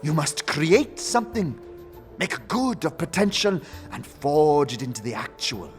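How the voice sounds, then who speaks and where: Man playing hearty, animated older faction leader. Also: a little high, big-room sound, soft background music, pitched above the performer's own voice. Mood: grand, persuasive. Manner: grand, expansive, theatrical, drawn-out phrasing. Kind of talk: fantasy audio drama acting.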